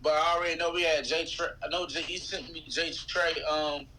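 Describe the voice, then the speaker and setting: A man's voice: fairly high pitch, steady tone, fairly quick, crowd noise, low-quality recording. A man from Philadelphia chatting casually and slangily as a podcast guest. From Philadelphia, United States. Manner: slangy, informal. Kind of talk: casual chat.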